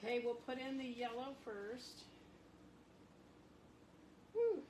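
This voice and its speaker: A middle-aged woman, sniffly from a cold, talks casually through a live craft demo in a somewhat high voice. She chats along and softly exclaims over her work. The room is echoey and carries a faint hiss of background noise. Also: very animated, slow. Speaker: marbling artist streaming live.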